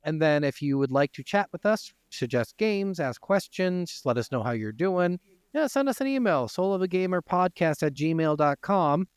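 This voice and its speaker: A man's voice: on the high side, expressive, normal speed, smooth, no echo, clean studio sound. An American man co-hosting a podcast, talking casually and bluntly about video games. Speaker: podcast co-host. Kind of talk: casual gaming chat.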